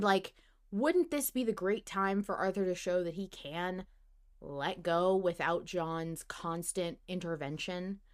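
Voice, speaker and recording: Emphatic, sweary woman podcast host. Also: on the low side. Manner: emphatic, sweary, rhetorical questioning. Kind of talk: animated fan discussion of a story podcast.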